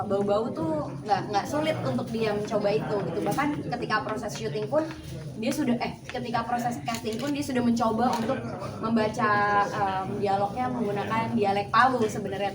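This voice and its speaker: A female director explaining casting choices at a press event in a steady, explanatory way with fillers. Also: fairly high pitch. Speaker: film director. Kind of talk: press interview answers. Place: busy cinema lobby. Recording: echoey, distant, loud crowd. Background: loud crowd chatter.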